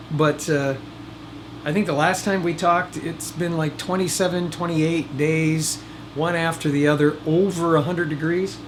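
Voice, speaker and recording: A man giving casual how-to vlog talk as host, folksy and jokey with rambling asides. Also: on the high side. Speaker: host of DIY video series. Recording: loud air conditioner noise behind voice. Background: air conditioner hum.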